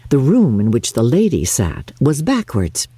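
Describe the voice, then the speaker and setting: An older woman narrates a classic novel in a deep, mellow register with a husky grain. Her delivery is theatrical and measured, swooping widely up and down as she shapes each phrase. The sound is close and dry. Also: no echo. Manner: theatrical, measured, expressive storytelling. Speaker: audiobook narrator. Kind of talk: dramatic literary narration.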